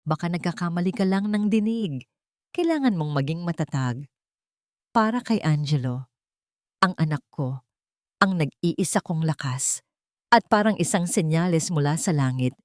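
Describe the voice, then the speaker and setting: A woman with a Filipino accent reading a dramatic first-person story in an emotional, measured way with pauses. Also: lowish voice, no echo, clean studio sound. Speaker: audiobook narrator.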